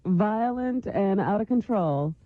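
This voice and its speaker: A woman delivers the news on a talk radio show, chatty and quick to laugh between items. The recording is dry and narrow-banded, like an old phone-line broadcast. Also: mid-range voice, lively intonation, dry recording. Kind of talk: reading news in radio banter. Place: New York radio studio.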